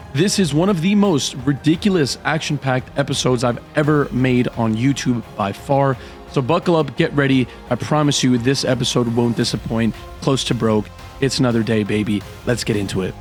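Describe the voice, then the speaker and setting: A man narrating his poker hands in a voice-over, with hyped, dramatic play-by-play and a slightly hoarse voice from a cold. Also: mid-range voice, a little mellow. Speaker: poker vlogger. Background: background music.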